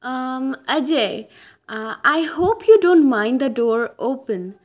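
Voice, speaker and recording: A woman playing a gentle, hopeful wife awaiting her husband in an amateur radio drama, polite and hesitant. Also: sits high, expressive, slow pace, heavily acted. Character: Shaila Rathore, gentle, hopeful waiting wife, in denial.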